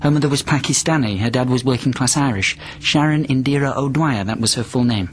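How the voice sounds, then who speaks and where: An actor playing a desperate confined patient from the past in a radio drama, speaking in an emotional, halting, plaintive way. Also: male voice, medium pitch, lightly acted. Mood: tentative. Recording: radio drama with music underneath.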